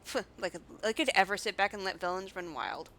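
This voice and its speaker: A woman's voice: medium pitch, fairly quick, sharp tone, a little hiss or hum. A woman voicing a plucky, boastful young sidekick from a fantasy game, speaking in a brash, exclaiming way. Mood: indignant, boastful. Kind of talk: fantasy game dialogue read aloud.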